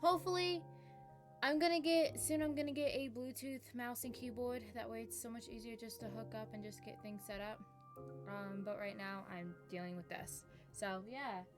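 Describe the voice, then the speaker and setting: A woman's voice: on the high side, fairly even intonation, moderate pace, over music. A woman game streamer chatting casually to viewers in a rambling, self-deprecating way. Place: indoors at a home gaming setup. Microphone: streaming microphone.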